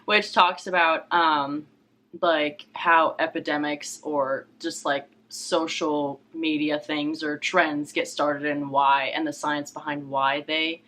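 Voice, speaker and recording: A woman's voice: sits low, level tone, slow pace, a bit bright. A young woman book vlogger chatting casually and informally, often hesitating as she searches for words. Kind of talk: casual chat.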